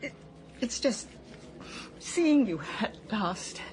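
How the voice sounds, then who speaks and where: An actress playing a lonely older wife who stammers and halts through tearful talk in a radio drama. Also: female voice, on the high side, expressive, slow pace, a little mellow, a little hiss or hum, rough recording.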